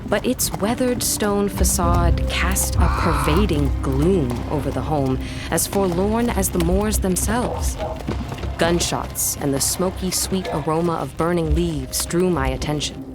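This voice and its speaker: A woman playing a poised, curious historian in a gothic audio drama, narrating in a measured, literary way. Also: on the low side, animated. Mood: wistful, uneasy. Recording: music playing behind the voice.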